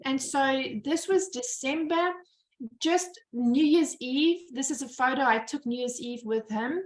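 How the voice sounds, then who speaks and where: South African woman in her 50s, earnest storyteller with rhetorical questions, slightly high voice, echoey room. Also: unhurried. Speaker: business owner giving a presentation. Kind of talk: personal-story presentation. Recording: roomy echo.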